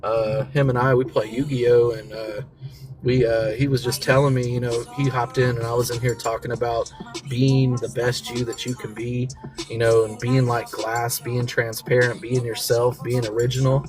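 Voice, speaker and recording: A man's voice: mid-range voice, flat delivery, normal speed, rough recording. An American man from the South hosts a casual motivational livestream, talking in a slangy, encouraging way and repeating key phrases for emphasis. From southern United States (Mississippi and Florida). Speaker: talk show host. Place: in a vehicle during a commute.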